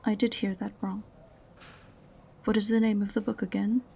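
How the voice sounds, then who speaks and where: A woman's voice: medium pitch, little pitch movement, moderate pace, vocal fry, darkish tone, breathy edge. A woman playing an aloof, sarcastic witch librarian in a fantasy audio roleplay, prim and condescending in a dry way. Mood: taken aback. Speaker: voice actor in amateur audio roleplay.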